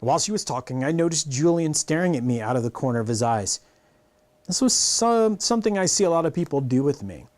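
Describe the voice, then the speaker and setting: Middle-aged male storyteller, slightly high voice, lively intonation, animated read-aloud. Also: brisk. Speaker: reader of a children's novel. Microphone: clip-on lapel mic.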